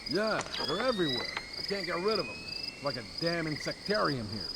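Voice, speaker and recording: A dim game henchman, hesitant and sheepish, with a voice a little on the high side. He talks like someone unsure of himself. Loud insect and frog noise fills the background. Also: very animated. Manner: hesitant, sheepish, slow-witted. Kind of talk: video game voice acting.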